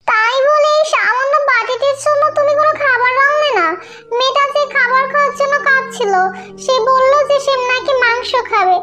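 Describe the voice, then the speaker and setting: A woman voicing a fretful, scolding mother bird in a children's cartoon, with a high, shrill, nagging delivery. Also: brisk, music in the background. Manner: nagging, pleading.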